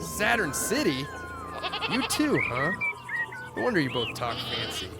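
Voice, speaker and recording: A man playing a scrappy, wisecracking space-station janitor in a sci-fi audio drama, informal, teasing and expressive. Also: a little high, a little slow, over loud music, exaggerated voice. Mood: teasing, curious.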